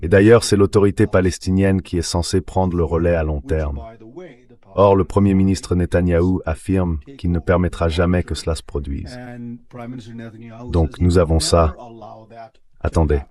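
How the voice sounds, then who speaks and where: A man speaking as an expert guest in a measured, explanatory way, in a translated synthetic voice-over. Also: sits low, steady tone, mellow. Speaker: expert guest, international relations professor. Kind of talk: translated voice-over of political analysis.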